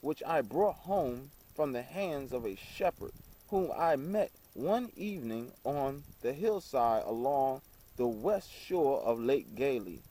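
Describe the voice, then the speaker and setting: A man reads aloud from an old book at an unhurried, slow pace. His voice is middling in pitch and mellow in tone. The delivery is halting, with stumbles and repeated phrases as he works through the text. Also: expressive, low-quality recording. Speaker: reader.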